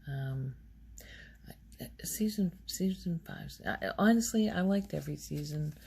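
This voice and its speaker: A woman speaking softly in casual ASMR style, often slipping toward a whisper, halting as she thinks aloud. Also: deep voice, lively intonation, creaky, slight background noise. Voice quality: soft, often breaking into whisper. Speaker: ASMR video host. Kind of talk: soft-spoken ASMR, casual rambling show-and-tell.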